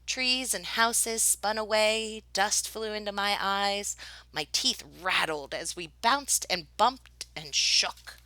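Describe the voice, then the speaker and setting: A woman reading a children's picture book aloud as a teacher would, with expressive, deliberate phrasing. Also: medium pitch, no room sound, poor audio. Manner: animated, deliberate phrasing for young listeners. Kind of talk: expressive children's storybook narration.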